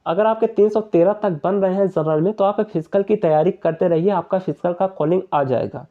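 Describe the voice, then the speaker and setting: High-pitched young man explaining. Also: fairly quick, phone-quality sound. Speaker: exam-prep YouTuber. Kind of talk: informal explainer addressing viewers.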